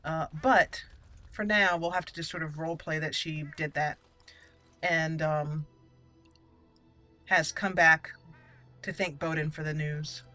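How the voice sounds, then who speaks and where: A woman's voice: deep voice, fairly even intonation, unhurried, long pauses, bright, forceful tone, faint music behind. A woman gaming host giving roleplay commentary, slipping between character lines and casual asides.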